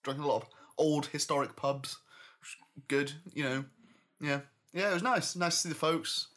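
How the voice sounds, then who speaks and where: A man's voice: a little high, normal speed, fairly bright tone. A man from Yorkshire co-hosting a podcast in casual chat, cheerful and easygoing, laughing often. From Yorkshire, England. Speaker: podcast co-host.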